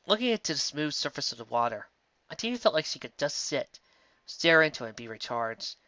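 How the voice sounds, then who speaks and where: A man reading a story aloud with expressive storytelling. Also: a little high, a little fast, dry recording, muffled recording. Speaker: reader. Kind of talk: audiobook narration of fan fiction.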